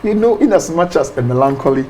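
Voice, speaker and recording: A man, a pastor explaining ideas in an interview, animated and often repeating words. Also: a little high, quick pace, a little hiss or hum. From Ghana.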